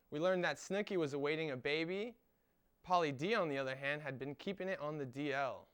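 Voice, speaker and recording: A man's voice: fairly high pitch, fairly bright tone, clean tone. A young man hosting a student show, reading upbeat scripted links and interviewing a guest. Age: young adult. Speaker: student host and presenter.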